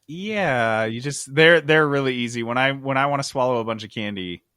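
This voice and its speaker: A man's voice: medium pitch, moderate pace, bright, forceful tone, clear, resonant tone, dry recording, clean studio sound. A man hosting a podcast with casual, joking and teasing banter, steering the discussion.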